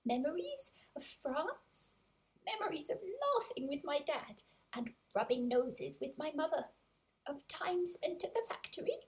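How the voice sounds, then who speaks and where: A woman's voice: very high-pitched, pitched above the performer's own voice, heavily acted, rough recording. A woman voicing a happy, sentimental female guinea pig with a wistful, gushing manner, in an audiobook. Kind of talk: audiobook character voice.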